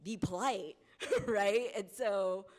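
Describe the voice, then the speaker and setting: A woman's voice: mid-range voice, slow. A woman who grew up in the U.S., speaking on a college panel in a conversational way and often checking in with "right?". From United States. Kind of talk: panel discussion. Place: college lecture hall.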